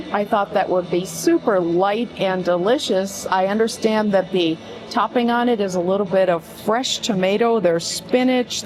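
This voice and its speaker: A woman's voice: mid-range voice, darkish tone. A woman with a crackly voice, hosting a casual vlog and chatting eagerly to camera from a restaurant table. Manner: chatty and enthusiastic. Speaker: vlog host and tour guide. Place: restaurant, indoors.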